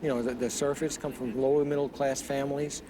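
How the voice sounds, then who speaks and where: A man's voice: medium pitch, level tone, brisk. Blunt man speaking over background noise. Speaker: interviewee. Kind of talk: blunt documentary reminiscence. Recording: noisy ambient location.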